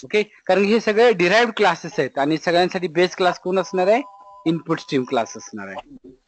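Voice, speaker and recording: A man's voice: high-pitched, expressive, fairly quick. A man with a Marathi accent giving a step-by-step lecture as an instructor, didactic, repeating points and asking rhetorical questions. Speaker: programming instructor.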